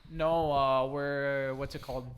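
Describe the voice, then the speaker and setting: A man with a middle-pitched voice telling a casual story on a podcast. He speaks in a relaxed way and often lets his phrases fade quietly. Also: a little slow. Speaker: podcast guest.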